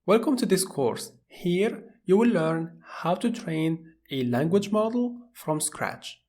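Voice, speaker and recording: Man explaining a coding course. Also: high-pitched. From Morocco. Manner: plain, steady explaining, with small restarts. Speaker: course instructor. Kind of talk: scripted tutorial narration.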